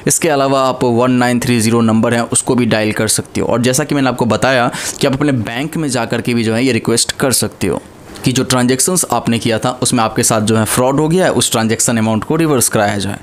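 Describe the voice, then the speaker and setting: A man's voice: mid-range voice, steady tone, quick pace. A young man speaking casually to camera from a small room, earnestly warning his viewers about an online scam, holding a small mic near his chin. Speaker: cyber-safety awareness YouTuber. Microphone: small handheld mic held near chin.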